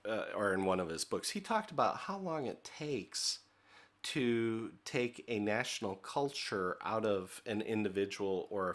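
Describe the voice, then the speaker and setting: A man's voice: on the low side, a bit bright. Conversational middle-aged American man lecturing. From United States. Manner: conversational, many fillers, asks "okay?". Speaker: leadership trainer. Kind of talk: recorded training lecture.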